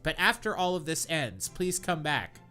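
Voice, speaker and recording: A man co-hosting a comedy podcast, reading bad fanfiction aloud in a deadpan voice that keeps cracking into laughter. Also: fairly high pitch, normal speed, faint music behind. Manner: reads deadpan, breaks into laughter.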